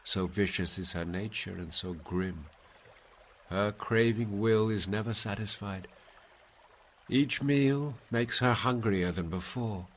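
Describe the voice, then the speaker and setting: A man voicing the grave ghost of an ancient Roman poet, guiding with solemn, oratorical delivery in a story reading. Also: low voice. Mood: grave warning. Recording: noisy, hissy background.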